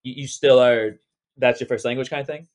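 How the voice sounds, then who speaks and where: A man's voice: mid-range voice, fairly even intonation, a little fast. A young man hosting a casual podcast interview, laid-back and slangy, rambling loosely as he asks questions. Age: young adult. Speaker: podcast host.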